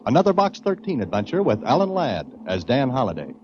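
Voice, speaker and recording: A man's voice: medium pitch, wildly swooping pitch, a little fast. A man announcing in a dramatic, emphatic 1940s radio style. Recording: dull old radio recording, loud music.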